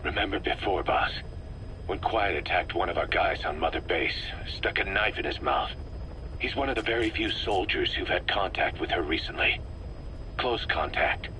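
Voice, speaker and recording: An actor playing a hard, suspicious, bitter military commander in a video game, speaking in a terse, accusatory way. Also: male voice, sits low, normal speed, sharp tone. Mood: grim, urgent. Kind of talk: dramatic video game voice acting. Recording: slightly noisy cassette tape recording.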